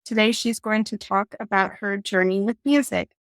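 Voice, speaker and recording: A woman's voice: mid-range voice, fairly even intonation, moderate pace, no echo, studio quality. A woman hosting an interview podcast, giving introductions and asking prepared questions.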